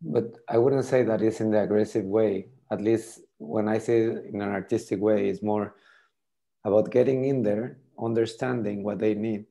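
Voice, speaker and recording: Middle-aged French-native man on a video call. Lowish, mellow voice, halting delivery with repeated words. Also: fairly even intonation, vocal fry. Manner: hesitant, repeats words while thinking. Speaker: tool demonstrator. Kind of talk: casual video call demo.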